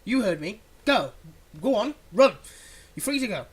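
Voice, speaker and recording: A performer acting out a dramatic supervillain whose medium-pitched voice swings widely between threat and soothing. The villain is theatrical and exasperated, with a soft heart under the menace, in an audio roleplay with noticeable background noise. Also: big pitch swings, moderate pace, lightly acted. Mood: impatient. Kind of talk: ASMR audio roleplay, one-sided dialogue to the listener.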